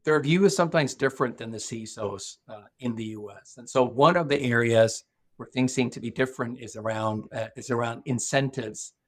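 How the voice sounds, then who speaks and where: A middle-aged man from Norway, a guest speaking thoughtfully in a podcast interview, often restarting his sentences. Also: medium pitch. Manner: thoughtful, measured, with frequent restarts. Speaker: guest, security executive.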